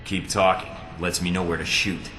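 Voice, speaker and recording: A man's voice: low voice, level tone, moderate pace, over loud music, close to an everyday voice. An actor playing a tough, wry young male secret agent in a video game, with a dry, terse delivery. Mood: commanding. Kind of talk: dramatic video game dialogue.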